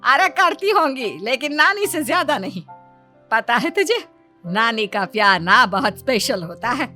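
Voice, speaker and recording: A woman's voice: high-pitched, expressive, moderate pace, sharp tone, soft background music. A woman playing a doting, nagging elderly Indian grandmother in a family cartoon story, fussing and exclaiming. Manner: scolding, fussing, exaggerated exclamations.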